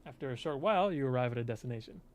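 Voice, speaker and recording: A man reading a visual novel aloud as host, in expressive scripted narration. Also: mid-range voice, rapid-fire.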